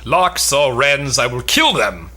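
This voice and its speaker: A man playing a pompous, foolish braggart knight who declaims grandly in a comic Elizabethan stage play, with a rough tone. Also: medium pitch, big pitch swings, slow, pushed and bright, exaggerated voice. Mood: blustering. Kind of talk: comic Elizabethan stage drama, cold read.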